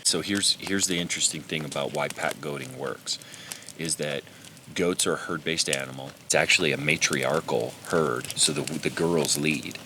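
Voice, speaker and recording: A man's voice: very deep voice, moderate pace, noisy background. A man who guides pack goats, talking earnestly and a little rambling about his goats and his time in the backcountry. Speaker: pack goat guide and channel host. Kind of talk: casual talk to camera on the trail. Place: outdoors in alpine backcountry.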